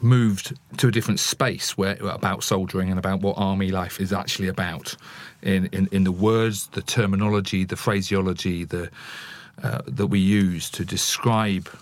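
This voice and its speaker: A man's voice: sits low, steady tone, moderate pace, no echo. A man presenting a podcast as host and interviewer, introducing segments and putting questions to a guest.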